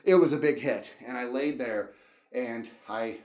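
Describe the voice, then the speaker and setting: A middle-aged man telling a casual, rambling comedic story in an animated way, acting out dialogue and reactions as he goes. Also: medium pitch, a little slow, roomy sound. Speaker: storyteller and show host.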